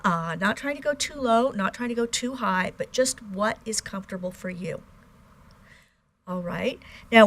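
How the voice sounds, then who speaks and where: Patient older woman lecturing in a studio. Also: fairly bright tone. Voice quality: fairly high voice. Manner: measured, patient teaching with frequent ums and self-corrections. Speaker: Chinese language instructor. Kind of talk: recorded video lecture. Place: small studio with plain backdrop.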